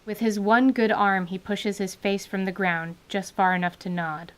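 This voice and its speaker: A woman giving vivid, deliberate scripted narration for a fantasy radio drama. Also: mid-range voice, steady tone, a little hiss or hum. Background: music.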